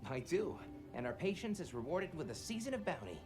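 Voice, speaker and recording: A man's voice: on the high side, very animated, brisk. A man playing a sincere, hospitable villager with a formal way of talking in a video game. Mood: earnest, hopeful. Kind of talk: video game cutscene acting. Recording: music behind. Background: music.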